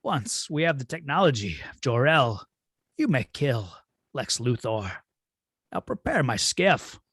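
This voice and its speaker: Man playing slow, husky, imperious alien android villain. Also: medium pitch, big pitch swings, fairly bright tone, no echo, studio quality, heavily acted. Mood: cold, commanding. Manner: slow, grandiose, deliberate delivery. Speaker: table-read performer. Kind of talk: dramatic script read-through.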